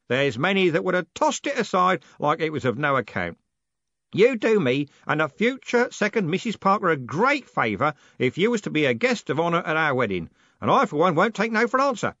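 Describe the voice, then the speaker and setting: A loud, hearty old merchant who bellows every line with bullish confidence, played by a man. His voice rides high and swings widely. The sound is dry and a little dull. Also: big pitch swings, a little fast, dry recording. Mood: booming hearty. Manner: bellowing, booming.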